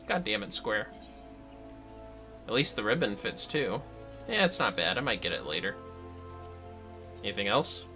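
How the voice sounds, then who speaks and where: Animated man chatting on a game stream. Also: mid-range voice. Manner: playful, chatty, mutters asides to the game. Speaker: livestream host playing an online video game. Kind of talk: casual gaming livestream chat.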